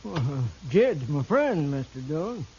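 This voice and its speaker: High-voiced, halting old Western drunk. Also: male voice, big pitch swings, a little mellow. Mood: friendly, hesitant. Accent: American. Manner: halting, stammering, folksy drawl. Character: old, shaky, rambling drunkard father in a Western. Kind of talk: 1950s radio drama acting. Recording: muffled, hissy 1950s radio recording.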